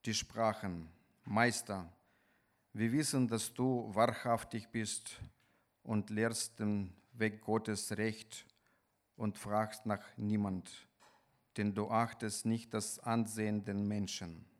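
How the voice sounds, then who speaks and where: Slow, low-voiced Ukrainian-accented male preacher. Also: steady tone. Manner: halting, deliberate, pausing mid-sentence. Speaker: guest preacher. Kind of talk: sermon with scripture reading.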